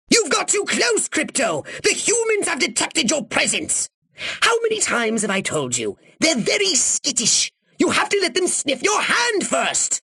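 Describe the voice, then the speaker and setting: A man voicing a pompous, short-tempered alien commander in a video game, haughty and precisely worded, with a nasal, sharp-edged delivery. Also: extremely high pitch, vocal fry, slightly rough, no echo, clean studio sound, heavily acted. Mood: exasperated, bombastic. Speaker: mission commander giving orders. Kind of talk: theatrical video game voice acting.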